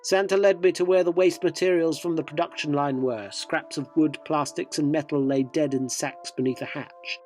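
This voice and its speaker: Inquisitive reporter-narrator male actor for radio drama: earnest, probing, dryly descriptive, slightly high voice, music underneath. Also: steady tone, moderate pace, few pauses, a little mellow, close to an everyday voice. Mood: uneasy, awed.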